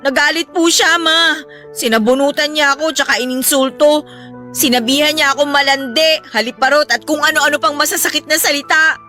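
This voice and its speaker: A woman's voice: high voice, fairly even intonation, a little fast, pushed and bright. A woman playing a hurt, pleading young housemaid daughter in a Filipino radio drama, respectful but aggrieved. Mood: wary, hurt. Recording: music behind the voice.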